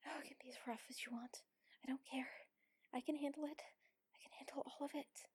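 A woman's voice: high voice, steady tone, no room sound, close to an everyday voice. A woman playing a submissive, teasing warrior lover in an intimate adult audio roleplay, whispery and pleading. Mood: urgent, pleading. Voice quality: breathy, whispery. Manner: slow, pleading, coaxing.

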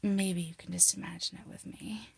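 A woman performing an intimate, sultry audio roleplay monologue, teasing and breathy, often slipping into a whisper, close to the mic. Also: on the low side, steady tone, normal speed, darkish tone, no room sound. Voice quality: breathy, husky, gravelly. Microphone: close mic, dry sound.

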